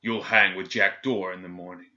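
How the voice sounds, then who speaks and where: A man voicing a cold, sadistic male governor general who speaks coolly and with calm menace. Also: low voice, normal speed, muffled recording. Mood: cold menacing. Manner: cool, clipped, menacing.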